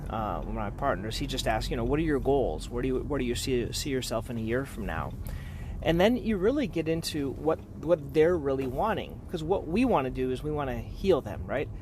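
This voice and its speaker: Man giving informal advice over clear background noise. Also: medium pitch. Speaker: podiatrist giving practice advice. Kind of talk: informal talk to fellow professionals.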